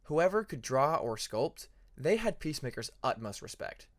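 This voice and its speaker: A man narrating a fantasy story like an audiobook, in an expressive, dramatic manner. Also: fairly high pitch, moderate pace. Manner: expressive, acts out dramatic passages. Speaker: fan fiction narrator.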